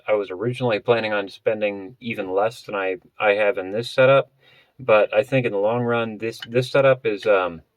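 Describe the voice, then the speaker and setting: A man vlogger explaining gear in a casual, unscripted way, halting and often rephrasing as he goes. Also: fairly low pitch, no room sound, rough recording. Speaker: vlogger presenting a budget gear setup.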